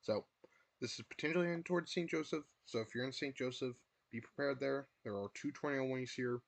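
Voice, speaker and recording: A man's voice: mid-range voice, fast, vocal fry, no echo. A young man, hosting a live weather stream from his room, losing his voice and repeatedly urging viewers to take shelter. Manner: repetitive, filler-heavy, urges viewers to take shelter. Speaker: livestream weather host.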